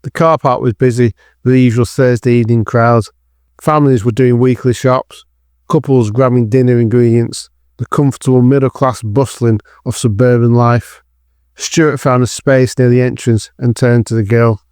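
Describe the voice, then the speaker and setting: A male narrator with a mid-pitched voice reads a scripted dramatic thriller. The sound is dry and close, with no room around the voice. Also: fairly even intonation, moderate pace, darkish tone, clear, resonant tone, no echo.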